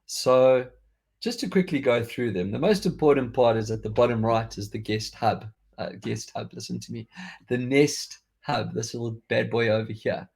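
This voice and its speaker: A man's voice: mid-range voice, normal speed, gravelly, a little mellow, slightly rough. A man from South Africa with a faded South African accent, hosting a podcast in a chatty, rambling way with frequent restarts. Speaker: podcast host. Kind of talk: casual tech chat.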